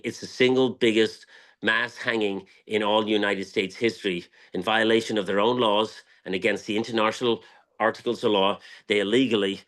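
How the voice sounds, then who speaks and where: Low-voiced Irish man telling history with passion. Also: fairly bright tone. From Ireland. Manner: emphatic, repeats phrases for weight, profane. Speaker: guest, tequila brand owner.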